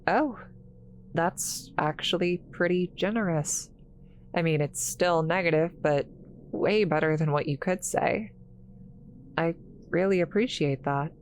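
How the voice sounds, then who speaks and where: A woman playing an awkward, kind scientist in a roleplay audio drama, halting and apologetic, stammering as she talks to the listener. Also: fairly low pitch, a little hiss or hum, close to an everyday voice. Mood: surprised, grateful.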